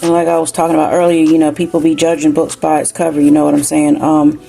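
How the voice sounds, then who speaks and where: A woman with a slight Southern country twang gives a relaxed spiritual card reading, talking to her listeners like friends. Her voice sits low and mellow with a gravelly edge. Soft music plays quietly under her. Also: quick pace. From New Jersey, raised in South Carolina. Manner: chatty, keeps saying "you know what I'm saying". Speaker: tarot card reader.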